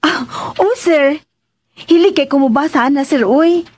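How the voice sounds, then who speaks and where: A woman acting a timid, curious young household maid in a Filipino radio drama, with a Visayan accent and halting, exclaiming speech. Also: high voice, dry recording. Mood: nervous, hesitant. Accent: Visayan Philippine. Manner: hesitant, halting, exclaiming with many interjections.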